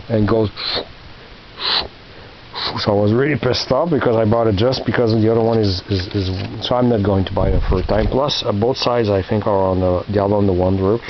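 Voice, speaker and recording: A man in his fifties rambling casually through his flea market finds, halting with many fillers and restarts. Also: lowish voice. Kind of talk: casual rambling show-and-tell. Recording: muffled with faint hiss.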